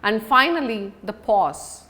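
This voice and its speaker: A woman giving a public speaking lesson as an instructor, speaking deliberately and emphatically with dramatic pauses. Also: medium pitch, expressive, pushed and bright. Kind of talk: scripted lesson to an audience on video.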